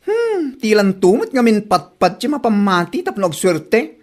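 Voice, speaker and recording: A woman's voice: mid-range voice, big pitch swings, normal speed. A woman with an Ilocano Filipino accent playing a teasing, gossipy village friend in a comedy drama. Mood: teasing, chiding.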